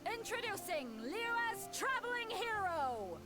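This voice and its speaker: A woman voicing a brisk young tournament announcer in a video game, with a theatrical delivery. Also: extremely high pitch, big pitch swings, music in the background, heavily acted. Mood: rousing, theatrical. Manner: theatrical, proclamatory.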